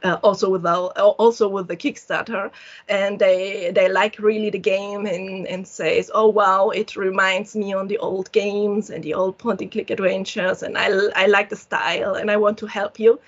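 A woman with a German accent, a solo game developer answering interview questions in halting English, often restarting her sentences. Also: mid-range voice, little pitch movement, dull audio. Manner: halting, searching for words, frequent restarts.

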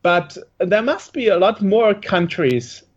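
A man with a high voice asks questions as an interviewer. He sounds curious and casual, and his audio is muffled and lo-fi. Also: lively intonation, slow pace, crowd noise. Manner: inquisitive, questioning. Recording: dull lo-fi audio.

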